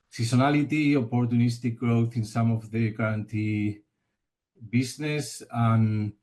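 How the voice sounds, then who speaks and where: A male financial analyst calling into an earnings call, asking questions off the cuff with some halting repeats. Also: medium pitch, darkish tone.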